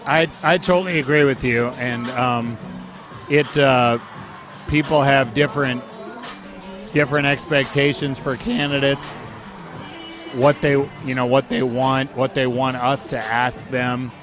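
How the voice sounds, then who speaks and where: A hoarse man in his forties, a guest telling stories with animated enthusiasm. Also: medium pitch, a little slow, over music. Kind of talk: casual interview.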